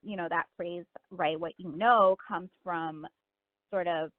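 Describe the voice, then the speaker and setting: Woman author from miami chatting in an interview, lowish voice, thin phone sound, kinda thoughtful. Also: fairly even intonation, a little slow, dry recording. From Miami, United States. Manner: reflective, frequent "like" and "you know". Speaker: author, podcast guest. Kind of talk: casual interview conversation. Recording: narrow phone-line sound.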